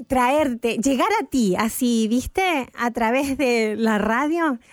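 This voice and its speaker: A woman's voice: a little high, slow, no room sound, clean studio sound, soft background music. A woman from Chile hosting a radio health show, chatty and full of fillers and restarts.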